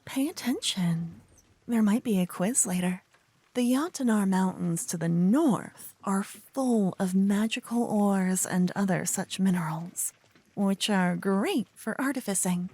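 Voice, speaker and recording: Woman acting a playful, slow-talking young paladin girl. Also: medium pitch, breathy edge, no room sound. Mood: teasing, smug. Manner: teasing, theatrical, hushed in places. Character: adorable silly young female paladin, bossy, smitten, playful know-it-all. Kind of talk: ASMR audio roleplay.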